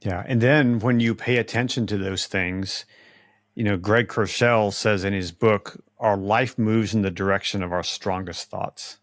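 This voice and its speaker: A middle-aged man hosting a podcast, speaking slowly and deliberately in a casual conversation. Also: on the low side, steady tone, dry recording, studio quality. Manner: slow, deliberate speech, says he talks slowly to avoid stammering. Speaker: podcast host.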